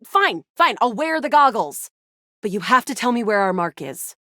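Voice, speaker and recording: A woman's voice: on the high side, very animated, moderate pace, a bit bright, no room sound, clean studio sound. A woman voicing a cocky young female space soldier in a video game, brash, dramatic and self-assured. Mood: grudging, businesslike. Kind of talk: video game character dialogue.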